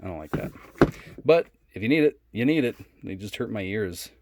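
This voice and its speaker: A man giving a casual, unscripted product demonstration in a low-key, halting way. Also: animated, noisy background. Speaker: product reviewer.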